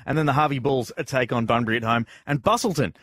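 A man's voice: medium pitch, quick pace, a bit bright, a little hiss or hum. An Australian man in his thirties presenting a music radio show, speaking close on a studio mic. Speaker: radio host. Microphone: close studio broadcast mic on boom arm.

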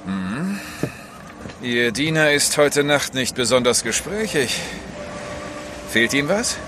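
An actor playing a nosy, suspicious sailor with a casual, probing way of talking in an audio drama. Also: male voice, medium pitch, sing-song, takes it slowly, noisy background. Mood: falsely friendly.

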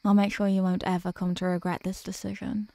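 A woman acting as a devoted, centuries-old vampire girlfriend in an intimate ASMR roleplay, speaking softly and formally in a caressing, protective way. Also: mid-range voice, little pitch movement, dry recording, natural voice. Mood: grateful, loving. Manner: soft, slow, formal, caressing delivery. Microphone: close microphone.

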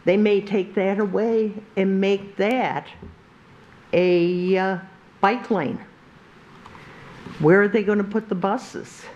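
An older woman with a big, carrying voice, speaking slowly and haltingly at a podium microphone during public comment in a council chamber. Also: medium pitch, fairly even intonation, a little mellow, muffled recording. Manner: slow, halting, with many pauses and restarts. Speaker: resident giving public comment. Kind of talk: public comment at a zoning meeting.

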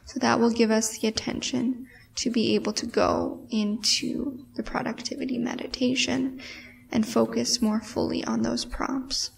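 A woman guiding a meditation in a measured way with pauses, her breathy, creaky voice often trailing toward a whisper. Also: a little high, steady tone, recorded from a distance, poor audio. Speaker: meditation guide. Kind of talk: guided meditation.

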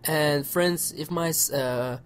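A man with a cold and a slightly hoarse voice, casually commenting on a game and stumbling over his words. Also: a little high, soft background music. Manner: halting, repeats words when unsure. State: has a cold. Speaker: gameplay commentator. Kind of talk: casual let's play commentary.

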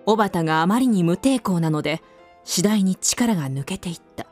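A woman's voice: on the low side, lively intonation, moderate pace, darkish tone, soft background music. A woman narrator reading scripted narration for a literary radio drama.

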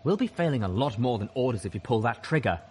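A man voicing a calm female pegasus soldier with a rich, measured voice in a story reading. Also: big-room sound, slight background noise, close to an everyday voice. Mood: firm, measured. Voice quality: low, rich.

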